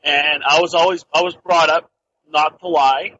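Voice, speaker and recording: A man's voice: fairly high pitch, steady tone, moderate pace, bright, forceful tone, no echo. A man calling a radio show, making a hesitant, casual confession over the phone. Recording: dull lo-fi phone call.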